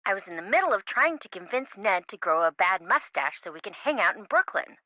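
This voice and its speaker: An actress playing a quirky, teasing young best friend in a video game, with a playful, theatrical way of talking. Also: female voice, medium pitch, very animated, a little fast, no echo, dull audio, lightly acted. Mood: bubbly, teasing.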